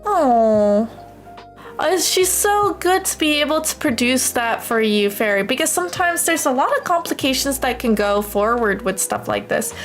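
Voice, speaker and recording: A young woman from the United States chatting casually on a livestream in an expressive, affectionate, gushing way, as a streamer talking to viewers. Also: sits high, moderate pace, few pauses, soft background music. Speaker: live streamer.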